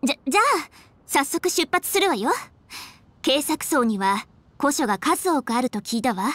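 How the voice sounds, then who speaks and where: A woman's voice: sits high, expressive, moderate pace, a bit bright, no echo. A woman voicing a proud, refined, dutiful young lady official in an anime game, with poised feminine speech. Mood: composed. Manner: poised, formal feminine speech, flustered when teased. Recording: faint music behind.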